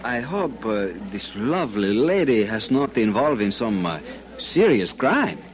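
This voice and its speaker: An actor playing a courtly, smitten Panamanian police captain with a Latin American Spanish accent, speaking ornate, halting English in 1950s radio drama style. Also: male voice, mid-range voice, very animated. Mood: philosophical, polite. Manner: halting, ornate foreign English with frequent hesitations. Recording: muffled old radio broadcast.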